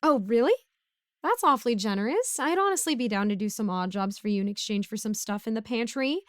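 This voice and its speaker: Woman doing a chatty broke lady villain neighbor, audio roleplay, clean crisp voice, very dry close sound, awkward and pleading. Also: a little high, lively intonation, a little fast, dry recording, natural voice. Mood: sheepish rambling. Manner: rambling, awkward, pleading and self-justifying. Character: broke, bumbling, chatty neighborhood supervillain asking favors. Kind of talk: casual conversational audio roleplay monologue.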